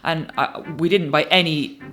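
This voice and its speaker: A woman's voice: deep voice, steady tone, moderate pace, a bit bright. A woman who founded a theatre company, talking in a reflective, anecdotal interview style. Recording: music playing behind speech. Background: guitar music.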